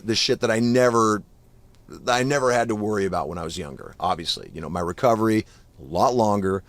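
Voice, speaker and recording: A man of about fifty, a guest musician, chatting casually on a podcast and telling animated stories full of swearing. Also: fairly low pitch, no echo. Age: 50s. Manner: profane, animated storytelling.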